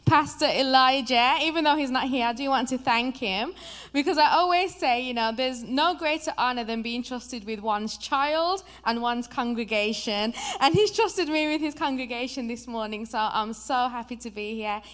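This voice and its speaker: High-voiced woman with a Birmingham accent. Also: moderate pace, smooth. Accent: Birmingham English. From England. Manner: lively, chatty, personal. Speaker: guest preacher. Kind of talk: sermon introduction. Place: church.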